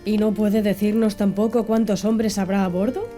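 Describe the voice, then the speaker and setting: A woman's voice: medium pitch, flat delivery, moderate pace, dark tone, soft background music, natural voice. A woman voicing a brash, curious Canadian harpooner who fires off blunt questions, for a storybook reading. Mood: suspicious.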